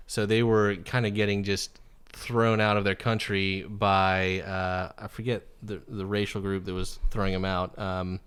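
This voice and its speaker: Podcast host, man in his thirties, low and smooth voice, rambling easygoing questions. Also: little pitch movement, unhurried, hardly any pauses, a bit bright. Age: 30s. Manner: chatty, loose, many fillers and restarts. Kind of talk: casual interview.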